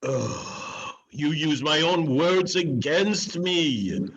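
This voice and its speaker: A man playing a fearful, remorseful old miser, halting and theatrical, in a dramatic Christmas reading. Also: fairly high pitch, lively intonation, a bit bright, lo-fi sound, slight background noise, exaggerated voice. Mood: pleading, dismayed. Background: music.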